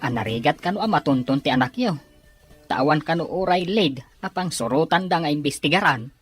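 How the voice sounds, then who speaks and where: A man's voice: on the high side, animated, brisk, husky, faint music behind. A man playing a respectful, concerned aide in an audio drama.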